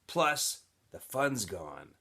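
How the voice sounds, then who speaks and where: A man's voice: lowish voice, big pitch swings, very slow, vocal fry, bright, forceful tone, breathy edge, exaggerated voice. A man voicing a brash, nosy teenage camp boy in a storybook, blunt and teasing.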